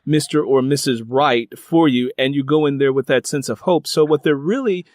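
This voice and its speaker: Middle-aged american guy hosting an interview, mid-pitched voice, very dry sound, keeps rewording his questions. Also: darkish tone, no room sound. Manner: deliberate, rephrases his questions. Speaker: interviewer and host. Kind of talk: conversational interview.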